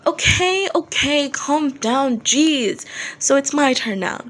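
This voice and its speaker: A woman's voice: high voice, expressive, slow pace, a bit bright, pitched above the performer's own voice, heavily acted. A woman voicing a playful, coaxing male rock singer with a showman's manner in a fan fiction reading. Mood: regretful. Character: teasing, theatrical male rock band singer, smooth showman. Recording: noticeable background noise.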